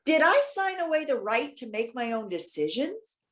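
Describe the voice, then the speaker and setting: A woman's voice: high voice, big pitch swings. Actress doing older lady, proud n hurt, stammers a bit, voice swoops all over, theater reading. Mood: indignant, hurt. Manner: halting, stammering, emphatic questioning. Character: elderly lonely woman, proud, indignant, wounded yet polite. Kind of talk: readers theater stage acting.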